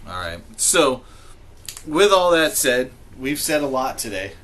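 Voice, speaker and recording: Lively, bright-voiced man chatting. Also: medium pitch, unhurried. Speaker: podcast co-host. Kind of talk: casual group discussion.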